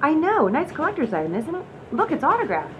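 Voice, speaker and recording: A woman's voice: wildly swooping pitch, a little fast. A woman acting a scatterbrained, cheeky train passenger who dodges questions in a radio comedy. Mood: evasive, cheeky. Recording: muffled recording over loud train rumble.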